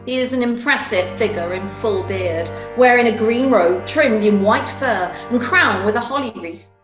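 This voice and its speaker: A woman's voice: medium pitch, normal speed, dull audio, over music. A woman narrating a story as part of an amateur radio play. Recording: roomy home video call.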